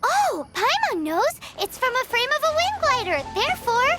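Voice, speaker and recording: A woman voicing a tiny, childlike, cheeky fairy companion with a squeaky voice in a video game. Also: sing-song, moderate pace, full character voice. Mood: chirpy, eager. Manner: speaks of herself in third person, exclaims. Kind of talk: animated video game voice acting. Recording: loud game music behind.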